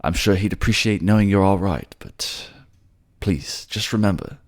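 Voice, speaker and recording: A man's voice: sits low, moderate pace, pitched above the performer's own voice. A man voicing an empathetic, teary merman advisor who is tender, earnest and fussy, in a fantasy audio drama. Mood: gentle, careful.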